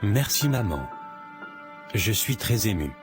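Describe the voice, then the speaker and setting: A man's voice: on the low side, very animated, takes it slowly. A man voicing an earnest young cartoon lawyer. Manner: earnest, sincere. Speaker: narrator and protagonist. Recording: music playing behind.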